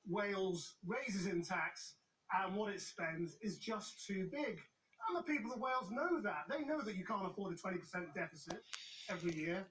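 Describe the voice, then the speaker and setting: A man presenting the news, narrating a report and pressing a guest with brisk, challenging questions. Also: sits high, fairly bright tone, distant mic, slight background noise, rough recording.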